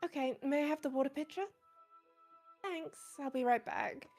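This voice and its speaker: A woman playing a shy, polite, bookish high school girl with a soft, hesitant delivery in a visual novel game. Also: moderate pace, soft background music.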